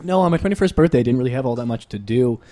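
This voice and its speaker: A man's voice: medium pitch, expressive, racing, dark tone. A man in his twenties, a podcast guest, telling a self-deprecating story close to a desk mic in a small room. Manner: self-deprecating, chuckling anecdotes. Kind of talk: casual storytelling.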